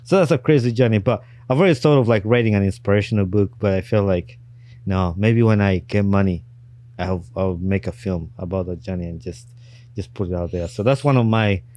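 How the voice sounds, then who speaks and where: A man's voice: fairly low pitch, fairly quick, mellow. Man hosting a podcast. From Uganda. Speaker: podcast host. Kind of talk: casual interview chat.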